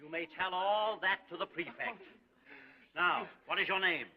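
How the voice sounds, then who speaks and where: An actor playing a brusque police officer who questions people in a dry, dismissive way. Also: male voice, sits high, moderate pace, sharp tone, poor audio.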